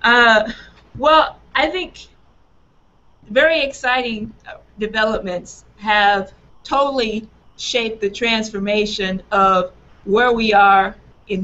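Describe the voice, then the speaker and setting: A woman in her forties, raspy from allergies, introducing a political talk in an earnest, emphatic way. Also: mid-range voice, a bit bright. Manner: earnest, emphatic, laughs at own slips. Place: small office room, indoors. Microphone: webcam on a video call. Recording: dull lo-fi webcam sound.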